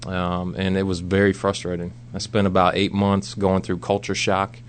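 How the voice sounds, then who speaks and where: A man's voice: sits low, completely flat delivery, moderate pace. An American man of about forty, speaking casually as a guest in a radio interview. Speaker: guest, missionary. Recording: background noise and hum.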